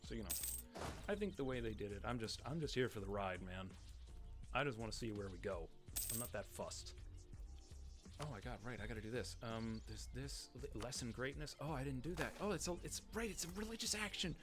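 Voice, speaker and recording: A man giving casual, rambling, profane game commentary, breaking off and restarting his thoughts, with excitable exclamations and muttered asides. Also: mid-range voice, brisk, over music. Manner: rambling, self-interrupting, profane. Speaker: Let's Play gamer and commentator. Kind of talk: casual game commentary with dramatic readings of game text.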